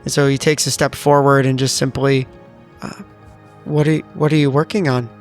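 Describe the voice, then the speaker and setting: Male tabletop player, mid pitch, flat delivery, earnest measured character narration over soft music. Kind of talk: narrating and speaking for his character.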